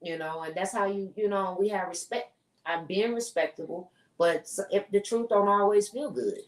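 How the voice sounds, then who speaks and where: A woman with a loud, strong voice, chatting bluntly and emphatically as a podcast co-host. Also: mid-range voice, normal speed. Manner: blunt, emphatic, repeats herself. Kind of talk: casual chat. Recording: echoey room, far from mic.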